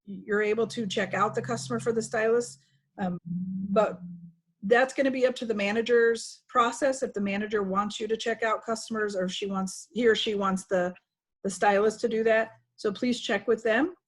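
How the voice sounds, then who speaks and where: A woman's voice: a little high, steady tone, normal speed. A woman trainer giving an informal, instructive walkthrough to staff over a video call. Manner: instructive, conversational. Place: video call with screen sharing.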